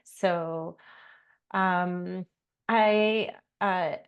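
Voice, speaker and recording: A woman's voice: fairly low pitch, fairly even intonation, very slow, clean tone, dull audio. A woman in her forties from Canada, a curator and artist, introducing herself in a reflective conversation with many fillers and restarts.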